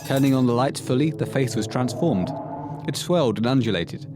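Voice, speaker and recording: A man narrating a scripted horror story for an audio play in a measured, literary storytelling manner. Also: medium pitch, animated, noisy background, close to an everyday voice.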